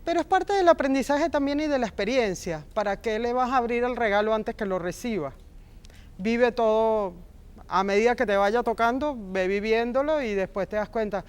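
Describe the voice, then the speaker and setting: Passionate woman, smooth clear voice. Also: on the high side, moderate pace. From Argentina, raised in Venezuela. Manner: warm, passionate, emphatic repetitions. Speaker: film script supervisor, guest. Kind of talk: interview conversation.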